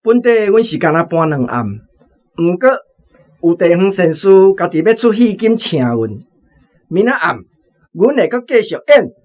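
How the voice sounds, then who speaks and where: An actor with a Taiwanese accent playing a humble, courteous head of a travelling opera troupe in a radio drama. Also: male voice, sits high, very animated, a little slow, slight background noise. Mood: announcing. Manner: deferential, courteous.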